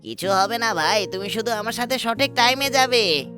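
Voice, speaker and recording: A man's voice: very high voice, expressive, moderate pace, over music. A man voicing a cocky, greedy young thief in a cartoon, pushy and confident. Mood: eager, cocky. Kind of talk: cartoon voice acting.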